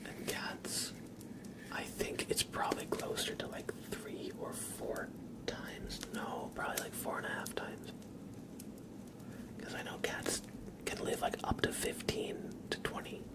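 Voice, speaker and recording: A man hosting a casual ASMR livestream, chatting in a breathy, gentle, unhurried whisper and softly repeating phrases. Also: poor audio. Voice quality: breathy whisper with creaky edges. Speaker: live streamer, ASMR host.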